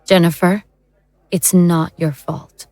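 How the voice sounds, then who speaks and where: A woman's voice: on the low side, flat delivery, takes it slowly, dark tone, dry recording. A woman playing a soothing, decisive investigator of the occult in an audio drama.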